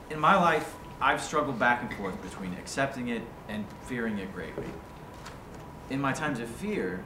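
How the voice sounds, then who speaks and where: Man acting an animated, bookish critic, roomy sound. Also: mid-range voice. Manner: precise, formal, bookish phrasing. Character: blunt, articulate male writer critiquing a younger writer's story. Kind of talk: staged reading of a screenplay. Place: indoor room at a staged reading. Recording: roomy, noisy live recording.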